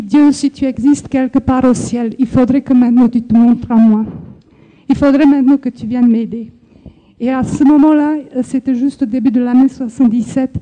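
Earnest older woman, very bright high voice. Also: rough recording. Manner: slow, earnest, reflective with hesitations. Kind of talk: personal faith testimony.

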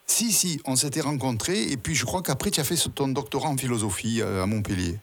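A man's voice: mid-range voice, lively intonation, quick pace. A man hosting a radio interview, asking friendly leading questions and restating his guest's answers. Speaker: radio host.

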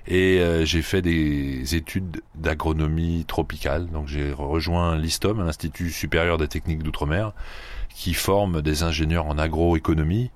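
A man from the Paris region, a club head being interviewed on radio, who pauses often with fillers and restarts. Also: extremely low pitch, fairly even intonation. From Paris region, France. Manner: hesitant, many fillers and restarts. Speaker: guest, sand yachting club head.

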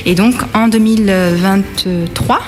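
Woman, thirties, from France, halting speech, frequent fillers, interview answers. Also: mid-range voice. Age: 30s. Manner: hesitant, many fillers and restarts. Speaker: bakery manager.

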